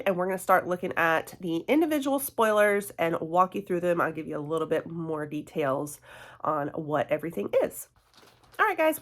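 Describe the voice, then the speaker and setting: A woman's voice: fairly low pitch, a bit bright. A woman, stuffy from a cold, chatting in a friendly way with her viewers as a beauty vlogger. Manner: friendly, chatty, fillers and laughs, addresses viewers as guys and y'all. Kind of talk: casual chatty product review.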